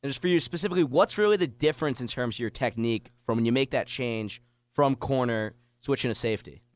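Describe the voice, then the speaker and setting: A man's voice: mid-range voice, fast, dry recording. A young man hosting a pre-game sports radio interview, asking questions in a conversational way.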